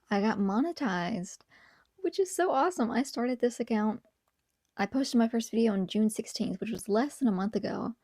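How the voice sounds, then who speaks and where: A hoarse, tired woman whispering at home at night, chatting casually as host of her own channel. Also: mid-range voice, no room sound, clean studio sound. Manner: whispering softly; apologetic, tired but upbeat. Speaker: video essay host.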